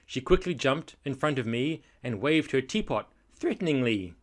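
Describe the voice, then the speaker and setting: A man reading a rhyming children's story aloud in an expressive, dramatic manner. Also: mid-range voice, normal speed. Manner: animated, dramatic delivery of rhymed story. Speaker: storybook reader. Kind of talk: expressive children's read-aloud with casual asides.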